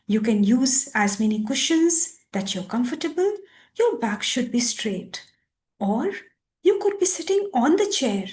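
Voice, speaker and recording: A woman leading a guided meditation as a teacher, speaking in deliberate, measured phrases with pauses. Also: sits high, a little mellow. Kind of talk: guided meditation with reading aloud and instructions.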